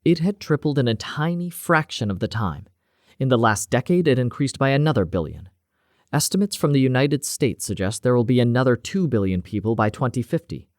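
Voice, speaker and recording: Man voicing a stocky woman doctor, bullying, preachy, pitched higher and louder. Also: no room sound, studio quality, natural voice. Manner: indignant and lecturing. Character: stocky, bullying middle-aged woman scientist.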